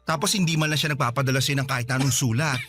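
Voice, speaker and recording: A man's voice: mid-range voice, very fast, fairly bright tone, natural voice. An actor in a Filipino radio drama, a man playing a blunt, suspicious friend with a scolding, exclamatory manner. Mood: incredulous, nagging. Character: blunt, nagging, suspicious friend of a salon owner. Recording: music bed under the dialogue.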